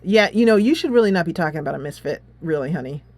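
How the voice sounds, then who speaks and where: A woman hosting a let's play, chatting in expressive asides as she reads along with the game. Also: on the low side, quick pace, no echo, soft background music. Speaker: gaming channel host. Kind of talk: let's play commentary, reading game dialogue aloud.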